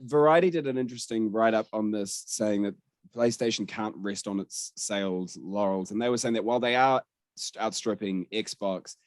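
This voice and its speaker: A man's voice: on the low side, normal speed, no room sound. New man hosting, close dry sound. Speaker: podcast host. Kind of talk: casual podcast discussion.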